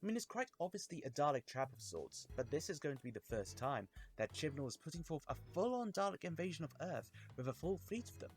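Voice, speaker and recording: Animated man presenting video commentary. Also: on the high side, fairly quick, hardly any pauses. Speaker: YouTube reviewer and host. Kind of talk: scripted video commentary to viewers.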